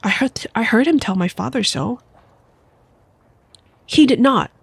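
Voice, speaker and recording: A young woman with a stuffy nose from a cold, reading a book aloud softly as an ASMR streamer into a close microphone. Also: fairly high pitch, animated, creaky, slightly rough, no room sound. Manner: soft, quiet, unhurried reading voice. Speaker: VTuber streamer.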